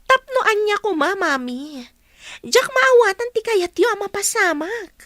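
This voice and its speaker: Shrill, high-pitched whiny spoiled daughter. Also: female voice, animated, unhurried, a bit bright, no room sound, studio quality, exaggerated voice. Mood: whiny, puzzled. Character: shrill spoiled scheming daughter of a manipulative mother. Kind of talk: radio soap drama acting.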